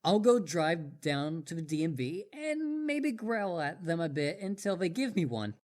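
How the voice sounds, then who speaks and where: Young wolf boy acted out by a performer, highly animated swooping pitch, stammering, clingy, earnest, obsessive, roleplay audio monologue. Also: measured pace. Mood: indignant, determined. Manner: stammering, eager, earnest, over-devoted.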